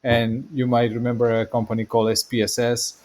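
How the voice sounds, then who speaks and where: A man from Spain with a Spanish accent, a guest in a casual podcast chat on a video call, explaining his career in long, relaxed runs. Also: mid-range voice, a little fast.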